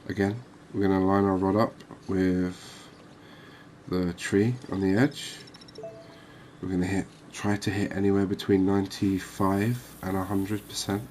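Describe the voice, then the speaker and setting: Low voiced guy doing cozy asmr gaming commentary, really slow and soothing, bit of background ambience. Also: slight background noise. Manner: unhurried, calming, reassuring. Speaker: host of a cozy gaming channel. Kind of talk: soft-spoken ASMR game commentary.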